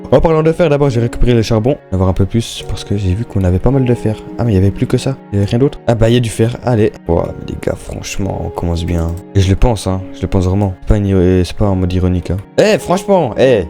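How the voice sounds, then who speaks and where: A man's voice: fairly low pitch, animated, quick pace, hardly any pauses, music in the background. A young man giving casual, slangy Minecraft let's-play commentary, chatting and thinking aloud as he plays. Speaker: gaming YouTuber.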